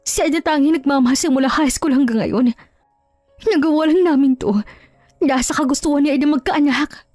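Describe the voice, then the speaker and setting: A woman voicing a desperate mistress in an audio drama, shrill, indignant and pleading. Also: fairly quick, darkish tone, dry recording, faint music behind, close to an everyday voice. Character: desperate mistress, angry, pleading young mother.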